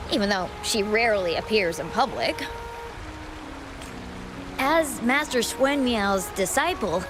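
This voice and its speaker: Lively, knowledgeable travel guide woman. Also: a little high. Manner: informative, eager to explain. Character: helpful, knowledgeable female travel guide writer in fantasy town. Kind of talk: video game dialogue. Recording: music behind the voice.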